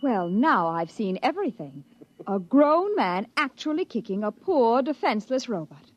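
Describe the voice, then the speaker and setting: A woman's voice: fairly high pitch, big pitch swings, moderate pace, highs cut off, soft background music. An actress playing a harried, exasperated wife and mother who nags and scolds in a 1950s radio drama. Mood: scolding. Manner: nagging, flustered. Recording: noisy vintage radio recording.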